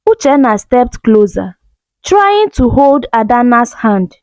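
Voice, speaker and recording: A woman's voice: a little high, very animated, slow pace, a little mellow, no echo. A woman telling a scripted story as a dramatic narrator.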